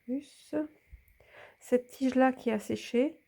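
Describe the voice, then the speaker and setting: A woman's voice: high voice, steady tone, takes it slowly, gravelly, warm tone. A woman with a slight cold talking casually while she handles a hanging plant and films it, often dropping into a whisper. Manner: talks while filming, drops to whispers. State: a bit congested with a cold. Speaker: plant vlogger. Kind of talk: casual hands-on narration.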